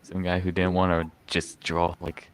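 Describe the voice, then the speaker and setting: A man's voice: deep voice, monotone, normal speed. A man chatting casually with friends on a stream. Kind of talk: casual voice-chat banter.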